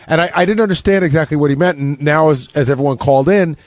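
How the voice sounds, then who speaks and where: A man's voice: on the high side, quick pace, dry recording. A man chatting casually on a radio recap show, telling anecdotes and quoting other people as he goes. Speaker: radio show staffer and commentator.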